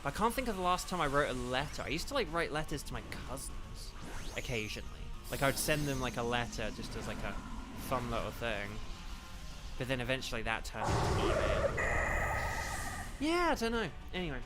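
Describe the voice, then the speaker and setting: A young man streaming a game, chatting casually with his chat, rambling with lots of fillers, a bit croaky. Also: mid-range voice, big pitch swings, a little fast, music in the background. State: slightly croaky from eating. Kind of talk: casual gaming livestream chat.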